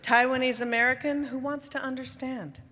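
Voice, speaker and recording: A woman's voice: sits high, fairly even intonation, fairly quick, pushed and bright. A woman reciting short scripted personal statements in a reverberant hall.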